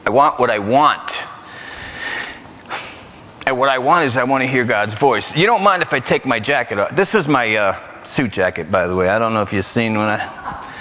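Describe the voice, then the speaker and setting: A man with a New York accent preaching a lively, joking sermon in a church. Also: medium pitch, moderate pace. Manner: animated, joking, repetitive. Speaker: guest preacher. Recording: muffled church hall recording.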